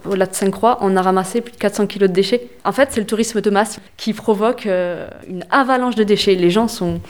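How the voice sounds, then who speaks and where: A young woman from an environmental association answering interview questions, hesitant with many fillers and slowly spelling words out. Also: medium pitch, darkish tone. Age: young adult.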